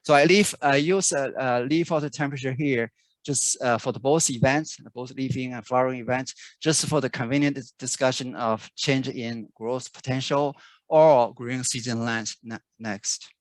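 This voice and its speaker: Halting man giving a research talk. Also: mid-range voice, no echo. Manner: halting, many fillers and restarts. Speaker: researcher presenting. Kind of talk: conference talk. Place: remote video call.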